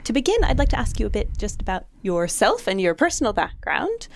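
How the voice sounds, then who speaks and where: A woman hosting a podcast, asking interview questions in a polite, measured way. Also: on the high side, huge pitch swings, vocal fry, no room sound. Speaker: podcast host.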